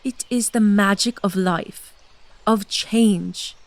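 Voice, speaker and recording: An actress playing a seductive, scheming blood queen who has lived hundreds of lives, speaking in a measured, intimate way in a fantasy audio drama. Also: medium pitch, no room sound. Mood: conspiratorial. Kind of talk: full cast audio drama.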